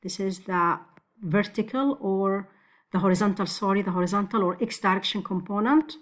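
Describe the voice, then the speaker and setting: A woman teaching physics, talking step by step through exam problems over a screen recording, with frequent restarts. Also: mid-range voice. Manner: slow, step-by-step explaining with restarts.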